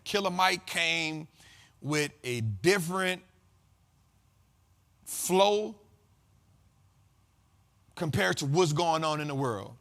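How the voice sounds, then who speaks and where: A man's voice: a little high, bright, forceful tone. A man hosting a finance talk show, speaking in a casual, slangy way and building up repeated lines like a preacher. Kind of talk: casual motivational monologue.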